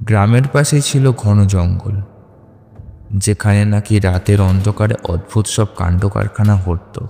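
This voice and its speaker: A man's voice: fairly low pitch, warm tone. A man narrates a scripted horror story. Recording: long reverb with music underneath. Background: music.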